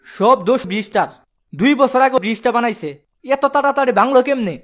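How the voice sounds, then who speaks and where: A man's voice: very high voice, brisk, fairly bright tone. A man voicing a dim-witted, grumbling kidnapper henchman in a cartoon, naive and argumentative, with colloquial Bangladeshi Bengali. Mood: naive, puzzled. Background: music.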